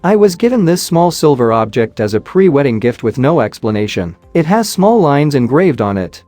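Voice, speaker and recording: Man, voice-over narrator, measured scripted read, lively intonation, faint music behind. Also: medium pitch, no echo. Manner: measured, even reading with no fillers. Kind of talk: scripted narration reading questions and answers.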